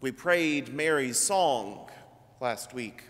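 A man in his thirties preaching a sermon from a church pulpit, measured and deliberate, his phrases trailing off low. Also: lively intonation, a bit bright. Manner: slow, measured preaching with trailing drops in pitch. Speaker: priest. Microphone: pulpit microphone. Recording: long church reverb.

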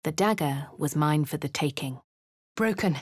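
A woman voicing a posh young female adventurer with a posh English accent in game voice lines, switching between quiet inner narration, hushed whispers and shouted spell incantations. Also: low-pitched, lively intonation, normal speed, breathy edge, no echo, clean studio sound. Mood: brooding.

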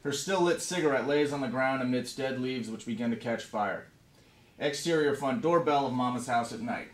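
Man in a roomy, distant meeting-room recording. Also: medium pitch. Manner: informal, profane. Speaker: film writer-director leading a table read. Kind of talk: casual introduction, then reading script directions aloud. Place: meeting room with a conference table. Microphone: distant camera microphone. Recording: roomy, distant camera mic, background noise.

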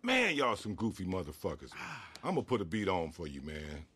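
Faint noise lies behind a grumpy American studio engineer in a game. His low voice is expressive and scornful, cutting people down in blunt slang. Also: normal speed, exaggerated voice. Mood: annoyed. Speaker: video game character. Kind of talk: video game cutscene acting.

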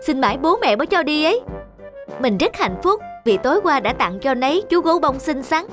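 A woman's voice: high voice, big pitch swings, a little fast, music in the background. A woman voicing a chatty, starstruck schoolgirl in a children's cartoon, eager and gushing. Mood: excited.

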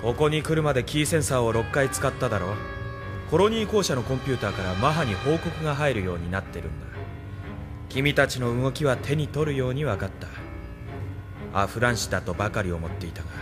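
A man's voice: mid-range voice, very animated, brisk, over music, close to an everyday voice. A man playing a smug, menacing enemy officer in a Japanese audio drama, taunting and commanding. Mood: gloating.

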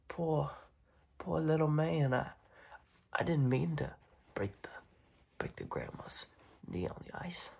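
Young guy doing a smug mob boss for asmr, husky half whisper, real slow and threatening. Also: a little high, full character voice. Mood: mock-innocent. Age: young adult. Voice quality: breathy, raspy, often dropping into whisper. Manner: slow, halting, repeats words, quietly intimidating. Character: smug, menacing mob boss recruiting a kid. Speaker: ASMR roleplayer. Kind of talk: ASMR roleplay. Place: dim room at home, indoors.

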